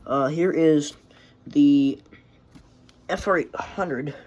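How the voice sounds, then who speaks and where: A man's voice: a little high, extremely slow, a little mellow, lo-fi sound, a little hiss or hum. A man giving a casual show-and-tell vlog in a rambling, offhand, sweary way, recorded on a handheld phone. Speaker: hobbyist presenting a radio collection. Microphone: phone held in hand.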